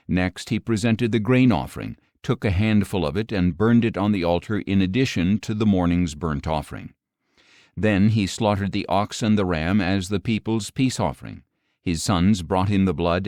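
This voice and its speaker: A man giving a measured, solemn narration of scripture as an audiobook reader. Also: sits low, darkish tone, no echo, studio quality.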